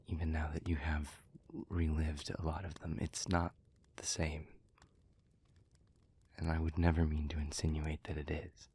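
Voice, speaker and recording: A man playing a gentle, remorseful demon in an intimate audio roleplay, speaking in a breathy near-whisper with halting, earnest care. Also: rumbling low, slight background noise. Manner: halting, earnest, careful, with long pauses.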